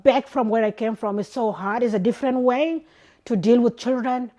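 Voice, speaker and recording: A woman's voice: fairly high pitch, normal speed, mellow. Middle-aged mum, earnest, talking about her kids. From South Sudan. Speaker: community mother.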